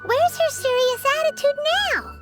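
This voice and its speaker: Squeaky, chatty little fairy. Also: female voice, moderate pace. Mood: puzzled, curious. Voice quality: squeaky, childlike. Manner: blunt, exclamatory, expressive. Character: tiny chatty childlike female fairy companion, blunt and perky. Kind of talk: video game cutscene dialogue. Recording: music under the voice. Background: background music.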